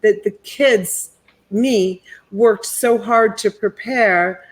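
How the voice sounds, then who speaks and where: A woman's voice: mid-range voice, extremely slow, poor audio. A middle-aged woman, born in Italy with Italian as her first language, hosting a casual live chat and telling a personal story in a rambling way, full of fillers and restarts. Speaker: host.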